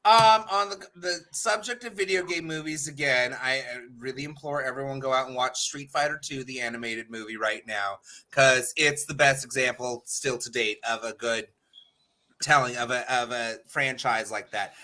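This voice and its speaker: A man's voice: medium pitch, moderate pace, bright, forceful tone. An American man giving emphatic, opinionated takes in casual panel chat, swearing freely. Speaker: podcast panel guest.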